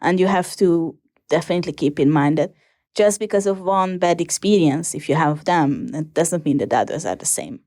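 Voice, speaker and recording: Young Hungarian woman, interview guest, halting delivery, close dry sound. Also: sits low, darkish tone, dry recording. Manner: hesitant, many ums and restarts. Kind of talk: casual interview answers.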